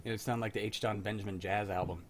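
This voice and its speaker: A man's voice: lowish voice, steady tone, quick pace. A man hosting a casual group chat and putting questions to the others. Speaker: host leading the chat. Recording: noisy online call mic.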